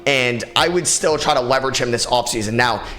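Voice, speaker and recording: A young man with a raspy, tired voice, hosting a solo sports podcast in a casual, opinionated way with many fillers. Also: mid-range voice, brisk, sharp tone, rough recording. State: tired, short on sleep. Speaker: podcast host.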